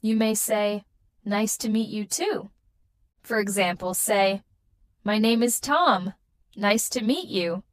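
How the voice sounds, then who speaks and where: A woman teaching a scripted beginner English lesson, speaking in slow, carefully spaced, patterned sentences. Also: mid-range voice, no echo.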